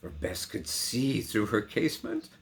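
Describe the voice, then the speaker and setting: A man giving a theatrical, declamatory poetry recitation with sharp contrasts between hushed and loud delivery. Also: fairly low pitch, huge pitch swings, unhurried, creaky. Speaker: poetry reader.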